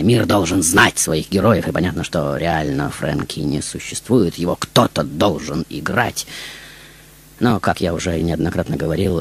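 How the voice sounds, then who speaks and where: An actor playing a vain, grandiose madman of a showman in a theatrical radio monologue, pompous and dramatic, with drawn-out pauses. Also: sits low, creaky, husky, full character voice. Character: grandiose, mad male actor-genius, vain showman of many roles. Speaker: radio show host in character. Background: music.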